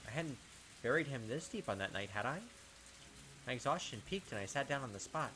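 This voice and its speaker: A man reading a visual novel aloud as a gaming host, with dramatic, expressive storytelling and pauses. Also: mid-range voice, background noise.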